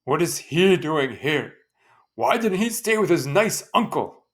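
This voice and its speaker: A woman's voice: deep voice, expressive, a little slow, voice pushed up. A woman playing a grumpy, unforgiving older father who grumbles begrudgingly in a storybook. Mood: irritated, grumbling.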